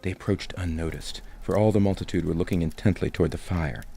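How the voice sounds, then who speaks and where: A man narrating a scripted story for an audio drama, with a creaky voice and literary delivery. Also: deep voice, fast, warm tone, faint music behind.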